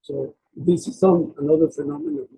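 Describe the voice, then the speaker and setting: A man giving an explanatory academic slide presentation, speaking haltingly and often repeating and rephrasing himself. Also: on the high side, big pitch swings, gravelly, mellow, a little hiss or hum, low-quality recording. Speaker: presenter of a technical talk.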